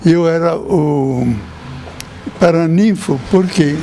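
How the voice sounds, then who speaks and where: Homem idoso italiano, fala lenta. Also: male voice, on the high side, warm tone, slight background noise. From Italy. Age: older. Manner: slow storytelling with chuckles. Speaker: priest. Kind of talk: interview, telling memories.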